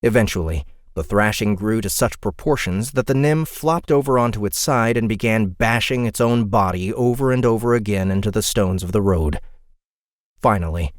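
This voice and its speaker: A man's voice: fairly low pitch, normal speed, dry recording, studio quality. A man reading dramatic scripted fantasy narration as an audiobook narrator.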